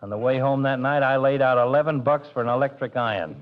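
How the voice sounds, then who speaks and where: A man's voice: mid-range voice, fast, natural voice. Guy playing chatty folksy barber, rambly, muffled old radio. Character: chatty working-class barber, folksy and soft-hearted. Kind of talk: 1940s radio comedy acting. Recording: muffled vintage radio broadcast.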